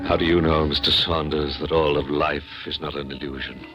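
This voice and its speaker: An actor playing an older, urbane, menacing scientist in 1940s radio style, with measured, mocking politeness and a superior air. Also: male voice, rumbling low, steady tone, music in the background. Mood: smug, coolly polite.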